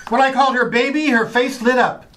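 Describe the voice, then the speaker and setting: An actor playing a grandiose, florid poet who declaims doggerel theatrically in an old-time radio comedy. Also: male voice, extremely high pitch, fairly even intonation, a little fast, fairly bright tone. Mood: grandiose, eager.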